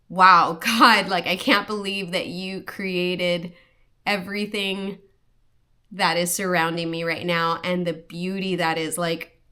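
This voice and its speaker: Young woman from Hawaii, earnest casual vlogger, bright forceful tone, slightly low. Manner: earnest, lots of "like" and restarts. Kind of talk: casual personal talk to camera.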